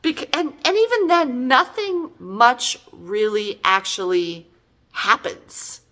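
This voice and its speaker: A woman's voice: fairly high pitch, slow pace, bright, forceful tone. A middle-aged woman giving a casual, chatty book review in an exasperated, emphatic, conversational way.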